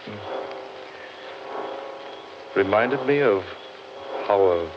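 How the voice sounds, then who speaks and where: A man's voice: lowish voice, lively intonation, extremely slow. An actor playing a grim, fatalistic man lost in a haunted forest, with morbid, theatrical delivery in 1940s radio horror style. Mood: morbid, ominous. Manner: brooding, ominous, theatrical. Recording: muffled, noisy vintage radio recording.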